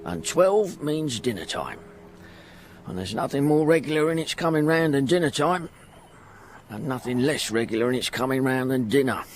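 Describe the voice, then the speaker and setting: A man's voice: medium pitch, expressive, normal speed, faint music behind, lightly acted. An actor playing a poor, humble middle-aged porter and devoted father in a radio drama, rambling in folksy working-class speech. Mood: glum musing. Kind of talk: radio drama acting, first-person monologue.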